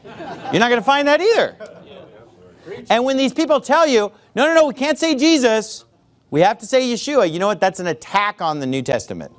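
High, animated preaching man. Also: fairly quick. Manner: emphatic, sarcastic preaching with sudden outbursts. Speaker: pastor. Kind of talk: sermon. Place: church.

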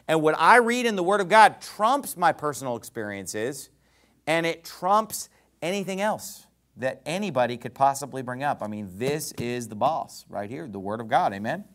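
A pastor preaching an emphatic sermon in a church, asking the congregation rhetorical questions. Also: male voice, on the high side, fairly bright tone.

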